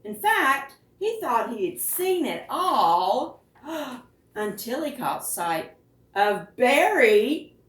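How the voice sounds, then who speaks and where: A woman reading picture books aloud to preschoolers as a storytime host, with expressive, deliberate phrasing and questions to the children. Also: on the high side. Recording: roomy, echoing, distant microphone.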